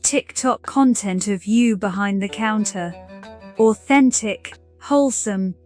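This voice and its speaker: A woman playing an eager young professional in an audio drama, with chatty, brisk sales patter. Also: medium pitch, a little mellow, faint music behind, close to an everyday voice. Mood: pitching. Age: young adult. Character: bright young professional woman, eager streaming-platform scout. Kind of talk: scripted audio drama dialogue.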